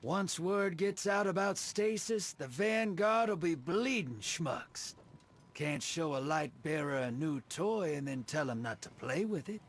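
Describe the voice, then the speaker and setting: A roguish streetwise man from a video game, sly and mocking. His fairly high male voice drawls along slowly and wryly. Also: lively intonation. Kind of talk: video game story dialogue.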